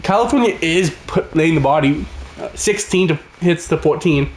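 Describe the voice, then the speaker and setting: A man, raspy from a cold, giving casual play-by-play commentary over a hockey video game. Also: fairly high pitch, moderate pace, echoey room. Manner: excitable, calls the action as it happens. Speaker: gaming channel host.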